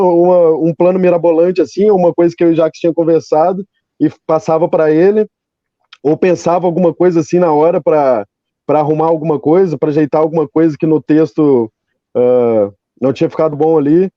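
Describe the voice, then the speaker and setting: A man talks casually as a guest, circling back over his phrases as he explains. His tone is dark and mellow, pitched slightly high. The sound is very dry and a little muffled. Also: steady tone, a little fast, no echo. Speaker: interview guest, podcast creator. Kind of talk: casual conversational interview.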